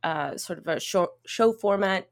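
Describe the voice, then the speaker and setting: A woman's voice: fairly low pitch, unhurried. A woman in her thirties with an English Canadian accent, hosting a casual podcast chat, giggling often and trailing through fillers and restarts. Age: 30s. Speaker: podcast host.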